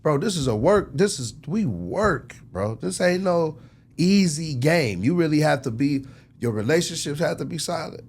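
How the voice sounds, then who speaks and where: A man's voice: fairly high pitch, lively intonation, moderate pace, darkish tone, breathy edge. A man speaking as a record executive being interviewed, casual and passionate, making his case emphatically with lots of slang and repetition. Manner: emphatic, persuasive, slangy, repeats himself. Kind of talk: casual, passionate shop talk.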